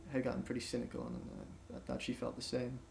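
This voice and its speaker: A man playing a sincere man who confesses candidly about his love life, slightly halting as he goes. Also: medium pitch, monotone, vocal fry, darkish tone, slight background noise. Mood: sincere, awkward. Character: sincere, formerly cynical man confessing an awkward romance.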